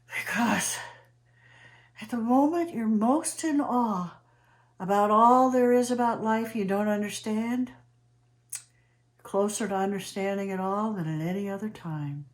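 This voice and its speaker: A woman playing an eccentric, awestruck character in a theatrical comic monologue, telling her story with dramatic emphasis and pauses. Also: mid-range voice, natural voice. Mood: exuberant, reflective. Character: eccentric, awestruck woman named Trudy who chums with space aliens.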